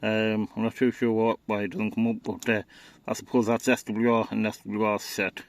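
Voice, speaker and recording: Male presenter, slightly deep voice, hands-on gear demo, unpolished and matter-of-fact. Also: level tone, normal speed. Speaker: radio enthusiast presenting equipment. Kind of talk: informal product demonstration.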